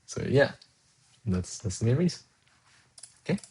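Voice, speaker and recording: A man's voice: mid-range voice, very animated, measured pace, a little mellow, slightly rough. A young man from Colombia whose first language is Spanish, chatting casually while coding on a live stream, rambling with fillers and restarts and laughing now and then. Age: young adult. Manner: rambling, thinks aloud with many fillers and restarts; laughs often, reads chat aloud. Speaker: livestreaming programmer.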